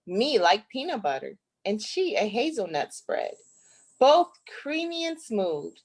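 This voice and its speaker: A woman from Flint in the United States, an author reading her children's book aloud with deliberate, playful expression. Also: on the high side, very animated, a little mellow, poor audio.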